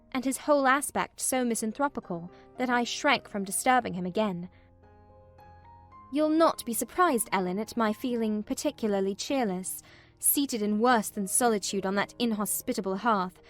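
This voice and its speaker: Woman as a high-voiced, plaintive young gentlewoman. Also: lightly acted. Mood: subdued, uneasy. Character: despairing, proud, weary young gentlewoman. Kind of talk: dramatized audiobook narration of a classic novel, in first person.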